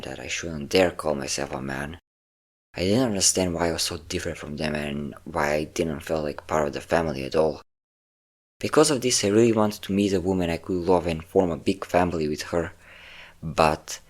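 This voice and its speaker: Man playing a low-voiced, possessive vampire lover. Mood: wistful, reminiscing. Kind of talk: intimate scripted audio roleplay addressed to a listener.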